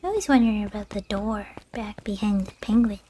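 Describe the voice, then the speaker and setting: A woman's voice: mid-range voice, lively intonation, a little fast, mellow, slight background noise. A woman co-hosting a game playthrough in casual conversation. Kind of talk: casual let's play chat.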